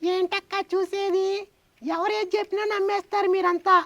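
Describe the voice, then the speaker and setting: A woman's voice: very high-pitched, fairly even intonation, moderate pace, fairly bright tone, lo-fi sound. A woman voicing a cheeky, vain little boy puppet who whines and argues in a children's puppet show. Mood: argumentative. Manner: whiny, argumentative, boastful.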